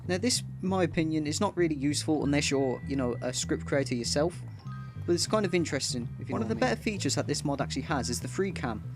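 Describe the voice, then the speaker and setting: A man's voice: fairly high pitch, expressive, fast, music in the background. A man hosting a gaming video with casual, explanatory commentary.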